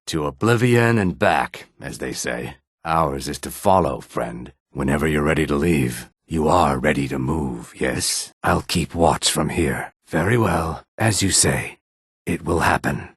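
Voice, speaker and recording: Game casting: gruff streetwise reptilian male, very husky and low, wary, measured menace. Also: lively intonation, no room sound, studio quality, exaggerated voice. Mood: calm, dutiful. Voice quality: raspy, gravelly. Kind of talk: video game character dialogue lines.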